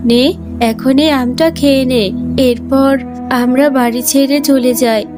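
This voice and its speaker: A woman voicing a poor, gentle jackal mother in a cartoon, tender, earnest and pleading. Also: sits high, fairly even intonation, a little slow. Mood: tender, worried. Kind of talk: children's cartoon voice acting. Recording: music under the voice.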